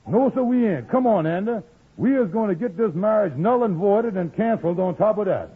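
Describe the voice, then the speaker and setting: An actor playing an excitable, nosy, good-hearted friend in old radio comedy, with a raspy voice and folksy dialect grammar. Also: male voice, high-pitched, brisk. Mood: indignant, insistent. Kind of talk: 1940s radio comedy acting. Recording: muffled vintage radio recording.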